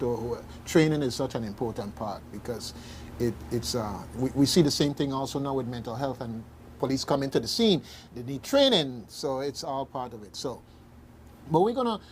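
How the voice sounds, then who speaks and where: A man hosts a serious radio discussion in a mid-pitched voice. He speaks haltingly but with emphasis, repeating phrases for weight. There is some faint noise in the recording. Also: darkish tone. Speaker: radio talk show host. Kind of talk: hosting a serious community discussion.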